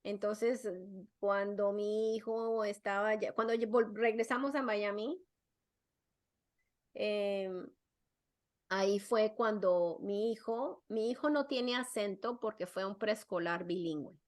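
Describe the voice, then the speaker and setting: A woman's voice: mid-range voice, takes it slowly. A middle-aged woman, a psychologist and podcast guest, talking casually and often restarting and repeating her words. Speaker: guest, clinical psychologist and parenting expert.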